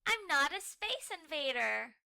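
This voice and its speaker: Woman as a little girl cartoon puppy, eager, inquisitive, high bright voice, bouncy intonation. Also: unhurried, vocal fry, exaggerated voice. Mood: excited. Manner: excitable, exclaiming and questioning.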